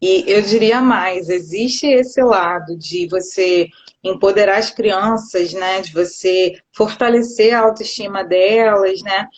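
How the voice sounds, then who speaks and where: A woman's voice: medium pitch, slow pace. A woman, a schoolteacher, reflecting and explaining her thoughts at length on a live video call. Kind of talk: casual conversation on a live stream. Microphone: phone or laptop on a live video call. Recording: dull lo-fi call audio.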